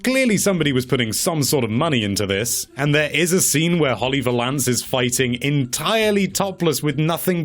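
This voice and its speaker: A man's voice: fairly high pitch, a bit bright. A man presenting a countdown-style video in a chatty, casual way, with wry, tongue-in-cheek commentary.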